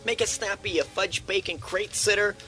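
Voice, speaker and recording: A man's voice: mid-range voice, animated, normal speed, vocal fry, bright, forceful tone, faint music behind, full character voice. A man playing a cranky, demanding hospital patient in a comedy sketch, whining, blustering and grumbling out orders and insults. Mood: angry, sarcastic.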